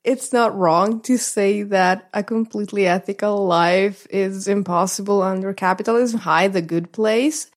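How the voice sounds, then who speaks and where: A woman's voice: medium pitch, a little slow, few pauses. A woman with a mid-range voice co-hosts a podcast. Her delivery is casual and conversational, with a wry manner. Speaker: podcast co-host. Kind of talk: casual chat.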